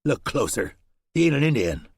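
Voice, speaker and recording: A tough frontiersman speaks in a clipped, crisp drawl, as a male narrator puts him on, pitched somewhat above the narrator's own voice. The audiobook sound is dry and close, with no room around it. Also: sing-song, moderate pace, dry recording, exaggerated voice. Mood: blunt.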